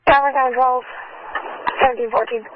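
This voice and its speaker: A woman's voice: high voice, steady tone, brisk. Woman dispatcher on muffled police radio. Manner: procedural, terse.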